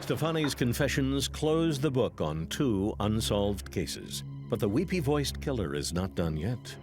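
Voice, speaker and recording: A man narrating a true-crime documentary in a scripted, dramatic and deliberate style. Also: mid-range voice, sing-song, over music.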